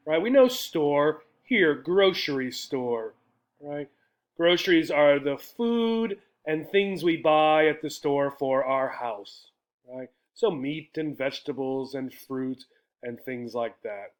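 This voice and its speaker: An American man teaches English vocabulary in a fairly high-pitched voice. He explains in a didactic manner, says key words over again and often tags his points with a short check for understanding. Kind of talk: vocabulary lesson explained slowly to learners.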